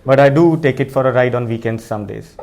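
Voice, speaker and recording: A man from Punjab, India, with a Punjabi accent, answering conversationally as an interview guest and often rephrasing himself haltingly. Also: mid-range voice, darkish tone. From Jalandhar, Punjab, India.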